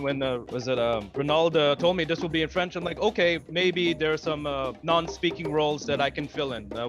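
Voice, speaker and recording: A man introducing himself as an actor at an audition, halting with many fillers. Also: on the high side. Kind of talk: self-introduction at a casting session.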